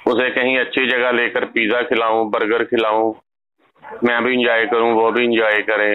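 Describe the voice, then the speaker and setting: A man's voice: medium pitch, level tone, moderate pace, smooth. A young man talking in a phone conversation, putting off a caller. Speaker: phone call recipient putting off a debt collector. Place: telephone call. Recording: tinny phone line with noise.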